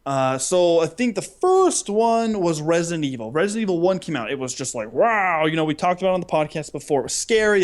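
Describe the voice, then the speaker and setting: A man with a fairly high-pitched voice co-hosts a casual podcast. He tells stories with humor, rambling along in an animated way and slipping into acted-out dialogue. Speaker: podcast co-host. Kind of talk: casual humorous chat, storytelling.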